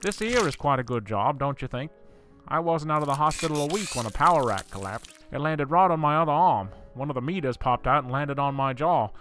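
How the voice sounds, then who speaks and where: A man's voice: on the high side, animated, brisk, fairly bright tone, soft background music. A man playing an older, absent-minded professor in a horror audio drama, mild and matter-of-fact in manner. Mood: eerily chatty.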